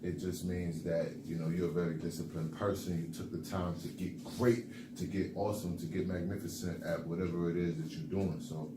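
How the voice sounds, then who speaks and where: A man with a very deep voice chats in a laid-back way on a podcast, padding his talk with fillers. An echoey room carries him, with clear noise behind. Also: flat delivery, fairly quick, hardly any pauses. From the Bronx, New York. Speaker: podcast co-host. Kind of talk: casual motivational chat.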